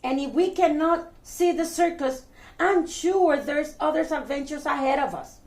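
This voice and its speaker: A woman's voice: high-pitched, a little slow, darkish tone, reverberant. A woman voicing a sensible, optimistic worm in a children's story, explaining things patiently. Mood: reasoning.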